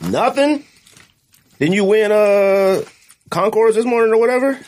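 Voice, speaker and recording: A man's voice: sits high, unhurried, darkish tone, slight background noise. A man hosting a podcast, chatting casually and laughing as he tastes snacks, in laid-back slang. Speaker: podcast host. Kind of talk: casual chat, snack tasting.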